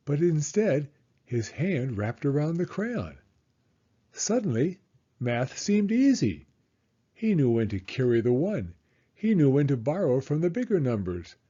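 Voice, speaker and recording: A man reading a children's story aloud in a theatrical, suspenseful way with drawn-out emphasis. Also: medium pitch, mellow, slightly rough, highs cut off.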